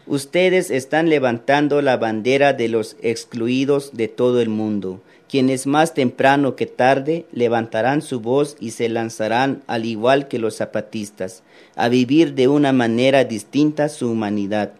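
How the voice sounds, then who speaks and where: A man reading listener letters aloud on the radio in a steady, formal way. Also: mid-range voice, measured pace.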